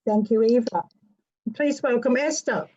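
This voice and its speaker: A woman's voice: fairly high pitch, unhurried, mellow. A woman hosting a panel, courteously introducing each guest in turn. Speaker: panel host. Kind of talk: moderating a panel. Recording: dull lo-fi audio.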